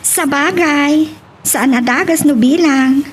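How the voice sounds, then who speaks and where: A woman's voice: high-pitched, slow pace, slight background noise. A woman playing a chatty young working woman talking with a friend in an audio love drama. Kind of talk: Ilocano radio-style love drama acting.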